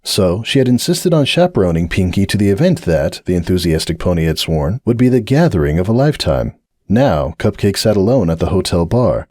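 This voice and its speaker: A man narrating a dramatic reading of fan fiction in an expressive storytelling manner. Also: low-pitched, no echo, clean studio sound. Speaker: narrator, reader.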